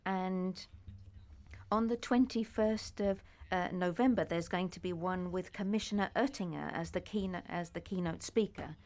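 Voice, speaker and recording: A woman interpreting a conference speech into English, measured and often pausing with hesitations. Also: fairly low pitch, a little mellow.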